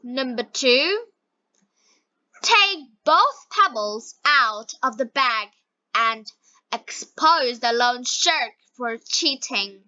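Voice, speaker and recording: A woman reading scripted story narration for language learners, word by word with frequent pauses. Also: fairly high pitch, expressive, pushed and bright, no echo, rough recording.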